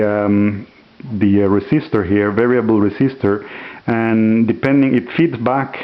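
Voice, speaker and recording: Relaxed hobbyist man, lots of fillers. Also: fairly low pitch, highs cut off. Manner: relaxed, hesitant with frequent fillers. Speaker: hobbyist demonstrating a homemade radio. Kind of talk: casual show-and-tell demo. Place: at home.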